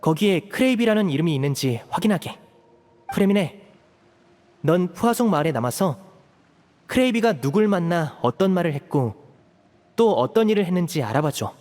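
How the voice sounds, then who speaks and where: An actor playing a young male magician who leads calmly and persuasively in a Korean video game. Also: high-pitched, moderate pace, pauses often, long reverb tail. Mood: composed, resolute. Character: composed young male magician, caring leader.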